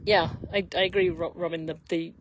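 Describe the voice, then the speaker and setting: A man's voice: a little high, fairly even intonation, fairly quick. A man giving casual, rambling live commentary on a horse race, often breaking off mid-thought, on a noisy outdoor live stream. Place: outdoors beside a horse trail.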